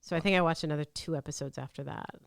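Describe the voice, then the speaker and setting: A woman's voice: sits low, steady tone, rapid-fire, gravelly, no room sound, studio quality. A woman talking casually as a panelist on a talk show. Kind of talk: casual chat.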